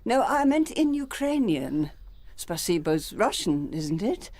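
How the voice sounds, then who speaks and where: An actress playing a fussy, genteel older widow and retired teacher who gets flustered and stammers, in a radio play. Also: female voice, mid-range voice, big pitch swings, slow pace, a little mellow, no room sound, full character voice.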